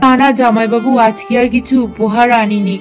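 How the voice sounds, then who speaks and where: A woman's voice: fairly high pitch, fairly even intonation, moderate pace, over music, close to an everyday voice. A woman voicing a downcast young man who has lost his job, in a family cartoon, pleading hesitantly. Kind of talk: cartoon voice acting.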